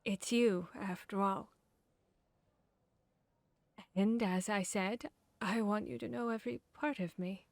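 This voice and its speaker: A woman playing a confiding, bookish vampire hunter in a fantasy ASMR roleplay, talking intimately with pauses. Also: medium pitch, vocal fry, darkish tone, no room sound. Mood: tender, confiding. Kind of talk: ASMR roleplay audio drama.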